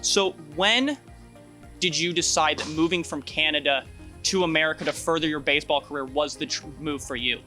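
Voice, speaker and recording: A man's voice: a little high, normal speed, bright, forceful tone, soft background music. A man hosting a sports interview show, asking questions in an upbeat manner and using "like" as filler.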